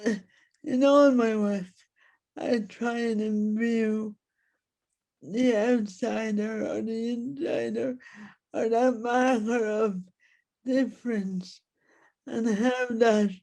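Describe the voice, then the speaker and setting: A woman with an Irish accent, reflecting in an interview in deliberate, halting short phrases. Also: on the high side, mellow. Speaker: playwright, interview guest.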